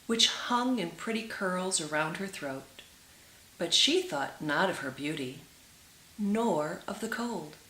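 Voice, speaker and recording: A woman reading a storybook aloud for children as a storyteller, with dramatic, drawn-out emphasis. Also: on the low side, expressive, fairly bright tone. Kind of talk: expressive storybook read-aloud for children, with brief asides. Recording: roomy echo with clear hiss.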